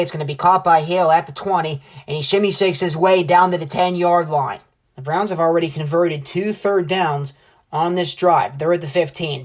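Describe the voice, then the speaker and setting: Casting: young adult male sportscaster, football play-by-play, describing the action as it unfolds. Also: a little high. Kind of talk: American football play-by-play commentary.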